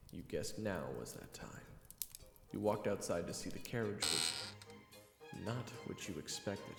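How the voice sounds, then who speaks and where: A man's voice: deep voice, gravelly, reverberant, big-room sound. A man reading scripted second-person fantasy narration as the storyteller of an audio roleplay.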